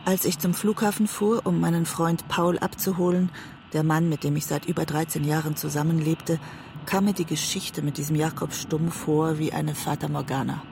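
Casting: introspective West German woman writer, measured literary radio-drama narration, gravelly fry, noticeable background noise. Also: sits low, steady tone. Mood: reflective.

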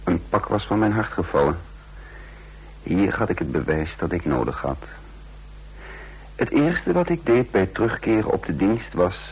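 An actor playing a composed intelligence agent who recounts and plays out his mission in a radio drama, speaking in a measured, formal way. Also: low voice, sing-song, a little mellow, breathy edge, heavily acted. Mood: reflective. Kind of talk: radio drama narration and dialogue. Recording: noisy old radio drama recording.

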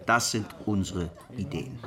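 An actor playing a grave, shrewd rebel preacher of the sixteenth century in a radio drama, speaking in a measured, earnest way. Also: male voice, sits low, a crowd in the background. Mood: grave, resolute. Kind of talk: historical radio drama acting.

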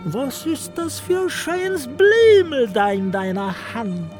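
A sly old man in a radio play, pitched high and dragged out slowly. He croons and wheedles as a creepy caricature luring a child. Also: big pitch swings, music in the background, heavily acted. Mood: wheedling.

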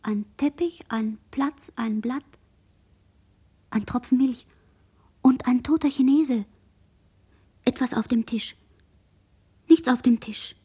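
High-voiced dazed girl in fragmented monologue. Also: slow, long pauses, dark tone, breathy edge, exaggerated voice. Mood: hushed. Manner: fragmented, incantatory, musing. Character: dazed young girl, sole survivor, murmuring fractured inner monologue. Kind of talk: literary radio play monologue.

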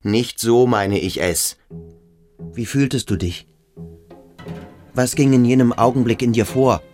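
An actor playing an intense teenage schoolboy who questions insistently in a radio play. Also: male voice, mid-range voice, measured pace, darkish tone, soft background music, close to an everyday voice. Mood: probing. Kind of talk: German radio play acting.

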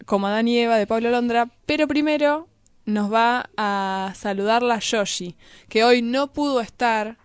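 A woman with an Argentine accent co-hosts casual radio chat. Her voice is smooth and clear, recorded close and dry in a studio. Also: on the high side, a little slow, dry recording. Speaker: radio co-host.

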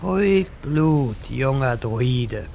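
An actor playing a solemn old male wizard who speaks in a slow, measured, formal way in a comedy audio drama. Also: medium pitch, animated, creaky, darkish tone, slight background noise, heavily acted.

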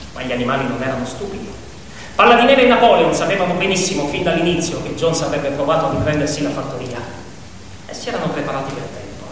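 A man narrates a scripted drama with theatrical suspense. He is heard at some distance in a long, heavy reverb, with faint noise around the voice. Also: a little high. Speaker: actor and narrator of a stage-style radio adaptation. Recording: echoey hall, distant mic, faint noise.

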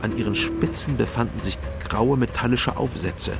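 An actor playing a wry, guarded male private detective who tells his own story in a crime radio drama, measured and dry. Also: mid-range voice, vocal fry, very noisy, close to an everyday voice. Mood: measured narrating. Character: Frank Doberschütz, East Berlin private detective, wry, guarded man. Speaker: narrator and lead detective. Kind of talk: first-person crime radio drama narration.

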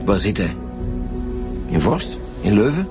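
An actor playing a curt, commanding Flemish chief detective in a radio drama. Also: male voice, fairly low pitch, sing-song, measured pace, music in the background. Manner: curt, commanding, gives orders.

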